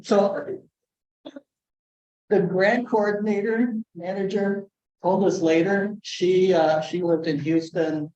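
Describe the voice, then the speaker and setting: A soft-spoken man walks a meeting room through his education program, chatting along with asides and plenty of fillers. He sounds muffled and a bit distant, with the room's echo hanging around him. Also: high-pitched, steady tone, slow. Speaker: conservation district educator. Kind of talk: conference presentation.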